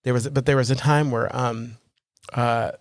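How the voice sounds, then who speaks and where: A man's voice: medium pitch, moderate pace, no echo. Guy cohosting a podcast, chatty and rambly, jokes on himself, dry close mic. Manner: animated, rambling with restarts and self-deprecating humor. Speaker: podcast co-host. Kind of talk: casual chat.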